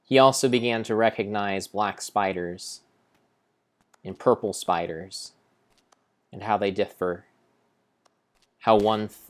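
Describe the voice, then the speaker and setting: Young adult male, low-pitched, unhurried and theatrical, solemn storybook narration of gameplay. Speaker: game streamer. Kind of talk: dramatic storybook-style narration over gameplay.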